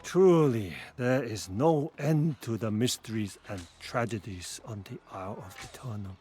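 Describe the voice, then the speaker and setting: An actor playing a calm, wise meditation master in a video game cutscene, speaking in a measured, serene way. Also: male voice, mid-range voice, faint music behind, natural voice.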